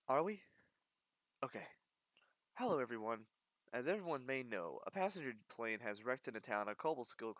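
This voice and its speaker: A man's voice: medium pitch, fairly bright tone, dry recording, close to an everyday voice. A man playing an unprepared local station newscaster giving a plain, slightly unpolished emergency news bulletin. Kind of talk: acted live news bulletin.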